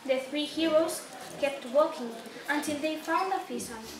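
A woman's voice: sits high, unhurried, a crowd in the background. A young woman reading a story aloud as narrator of a puppet show. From Spain. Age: young adult. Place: small indoor room at a day care centre. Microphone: distant camera microphone. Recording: roomy, distant camera mic, clear noise.

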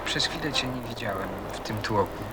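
A man's voice: mid-range voice, lively intonation, moderate pace, close to an everyday voice. An actor playing a smitten, intense young man in a radio play, earnest and sparing with words. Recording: echoey reverberant space, faint noise.

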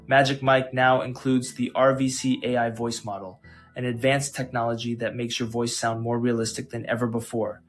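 A man with a medium-pitched voice hosts a tech tutorial. He narrates in a scripted, explanatory style with some music playing behind him. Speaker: tech channel host.

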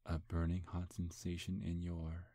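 A man playing a smug, seductive demon in an intimate ASMR roleplay, breathy and husky, teasing in a hushed, hypnotic way. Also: sits low, monotone, moderate pace, no echo, studio quality. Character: seductive, smug male demon posing as a handsome gentleman.

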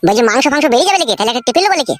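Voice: mid-range voice, sing-song, racing. A performer voicing a naive, food-loving young village son-in-law in a comedy cartoon. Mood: eager. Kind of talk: Bengali comedy cartoon dub.

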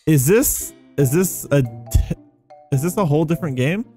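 A man's voice: fairly high pitch, animated, moderate pace, dark tone, soft background music. A young adult man giving casual, rambling gameplay commentary, easily amazed and thinking aloud as he hosts. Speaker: gaming video host.

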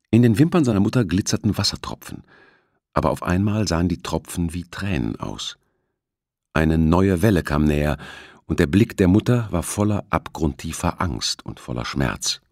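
A man narrating a thriller audiobook in a dramatic, measured storytelling manner. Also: deep voice, breathy edge, no room sound, clean studio sound.

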